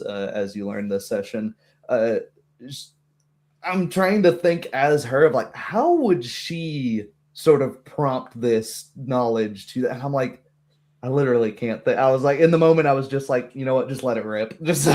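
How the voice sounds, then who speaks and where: A man talking casually as a game master about a tabletop roleplaying session, in an animated, rambling way. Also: medium pitch.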